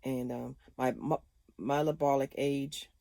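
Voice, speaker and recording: A woman's voice: extremely low pitch, steady tone, a little slow, gravelly, dark tone, no room sound. Woman, fifties, chatty vlogger, laughs a lot, dry close recording. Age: 50s. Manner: chatty and rambling, laughs often. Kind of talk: casual chat to viewers.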